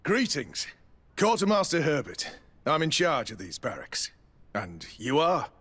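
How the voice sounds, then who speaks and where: A man's voice: fairly high pitch, wildly swooping pitch, a little slow, fairly bright tone, breathy edge, heavily acted. A man playing a dutiful, courteous military quartermaster in a video game, polite and businesslike. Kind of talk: video game dialogue acting.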